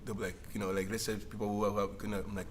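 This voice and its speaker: Deep-voiced Rwandan man, halting speech. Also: flat delivery. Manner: halting, restarts phrases, laughs often. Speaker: singer-songwriter guest. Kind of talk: casual livestream conversation. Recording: faint background noise.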